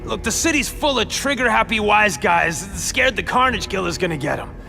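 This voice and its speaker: A jittery, stammering gang boss. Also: male voice, high-pitched, animated, moderate pace, gravelly, sharp tone, full character voice. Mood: anxious. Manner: panicky, stammering and boastful. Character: jittery male gang boss with a power suit. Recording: game audio with reverb and music.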